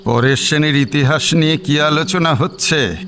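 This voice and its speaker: A man playing a thoughtful old villager in an audio drama, with a creaky, aged voice and deliberate delivery over music. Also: fairly high pitch, a bit bright, close to an everyday voice. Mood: musing. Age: older. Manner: slow, deliberate. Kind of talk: Bengali audio drama acting. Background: music bed with cricket sounds.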